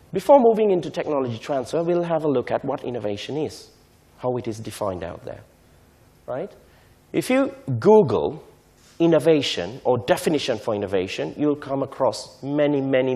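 A man's voice: medium pitch, warm tone. A man in his thirties, originally from Sri Lanka, giving a conference lecture at a podium mic in a large hall, stressing key words and often asking "right?". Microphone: podium microphone.

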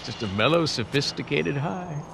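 An actor playing a grandiose, earnest stoner activist in a game cutscene, scattered and zealous. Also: male voice, on the high side, animated, moderate pace, vocal fry, background noise. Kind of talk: video game cutscene acting.

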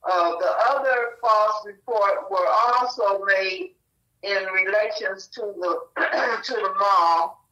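Slow, bright-voiced woman reading news. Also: mid-range voice, dull audio. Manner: halting, stumbles over names. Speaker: co-host reading the news report. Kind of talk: reading a news article aloud.

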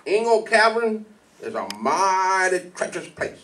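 Rustic town constable, played by a man: folksy old-radio delivery, high voice, picked up from afar in a hall. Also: big pitch swings, a bit bright, full character voice. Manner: rustic, halting. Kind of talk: 1940s radio drama acting. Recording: distant microphone in a hall.

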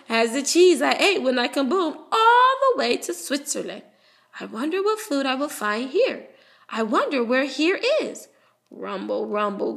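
A woman reading a children's story aloud in a playful, animated way, voicing the narration of a puppy. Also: fairly high pitch. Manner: playful, animated, with drawn-out sound words.

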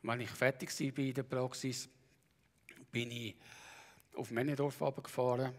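A middle-aged or older man with a Bernese Swiss German accent, giving a halting personal account on stage and often clearing his throat. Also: medium pitch, flat delivery. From Bernese Oberland, Switzerland. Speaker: practitioner giving a talk.

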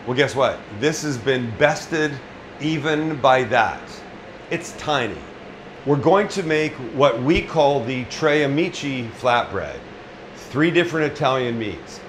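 A man's voice: mid-range voice, lively intonation, measured pace, a bit bright, a little hiss or hum. A middle-aged man hosting a casual cooking demonstration in a small restaurant kitchen, joking bluntly and swearing freely. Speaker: chef and cooking show host.

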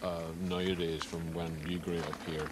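Low-voiced Northern Irish presenter. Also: male voice, level tone, moderate pace, very noisy. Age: middle-aged. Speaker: television presenter and interviewer. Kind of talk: scripted documentary narration and casual interviewing.

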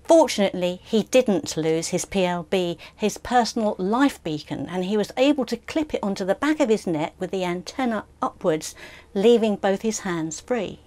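A woman's voice: mid-range voice, expressive, moderate pace, mellow. An older woman co-hosting a sailing channel, adding sympathetic comments to the story. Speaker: channel co-host.

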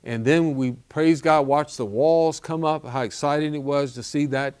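Earnest older pastor making an appeal. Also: male voice, a little high, steady tone, moderate pace, darkish tone, clear, resonant tone. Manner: earnest, repeats "okay?" and "all right?". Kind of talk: appeal for donations. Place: studio set with wooden wall backdrop.

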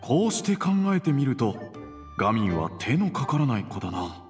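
A man's voice: mid-range voice, very animated, normal speed, soft background music, lightly acted. An actor playing a stern but caring middle-aged father, speaking formally and earnestly in a Japanese video game. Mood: quietly reflective.

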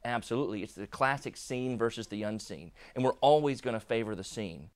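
A man in his thirties, an economist, explaining in an interview in a quick, emphatic way. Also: lowish voice. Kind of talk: interview answers. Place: indoor studio set.

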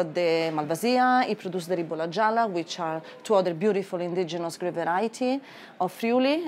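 A woman in her thirties with an Italian accent and a lowish voice talks about wine in a cellar. She pauses often to find her words. A faint hum of the room sits behind her. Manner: careful, with frequent hesitations. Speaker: wine merchant. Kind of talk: tasting discussion.

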